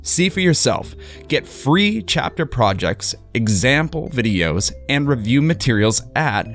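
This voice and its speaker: A man reading a scripted sponsor ad in a measured delivery. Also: medium pitch, sing-song, faint music behind. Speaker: ad announcer. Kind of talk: read sponsor ad.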